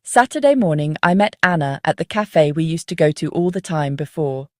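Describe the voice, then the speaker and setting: A woman reading a scripted first-person story as the narrator of a dark psychological tale. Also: sits low, expressive, normal speed, dry recording, clean studio sound.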